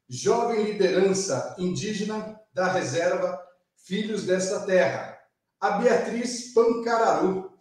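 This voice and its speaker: Male Brazilian talk-show host, higher voice, slow and formal, heard from off-mic in a very echoey room. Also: a little mellow, distant mic. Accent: Brazilian Portuguese. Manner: slow, formal announcer delivery. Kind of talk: studio talk show presenting.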